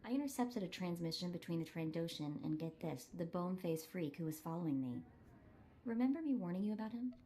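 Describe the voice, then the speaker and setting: An actress voicing an eager, determined young streetwise sidekick in a cinematic video game, earnest and spirited. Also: female voice, fairly low pitch, animated, a little fast, dark tone, a little hiss or hum, close to an everyday voice. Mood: confident briefing.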